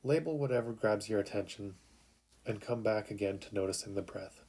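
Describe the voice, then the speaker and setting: A man narrating a guided sleep meditation in a calm, soothing, unhurried way with long pauses. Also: fairly low pitch, breathy edge.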